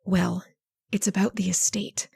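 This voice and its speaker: An actress plays a sly, genteel schemer in an old-time radio drama. Her creaky voice is dryly recorded, and she confides in a careful, deliberate way as if frail and worried. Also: mid-range voice, level tone, a little mellow, no echo, clean studio sound, exaggerated voice. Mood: feigned distress. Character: sly, scheming sister feigning frail worry.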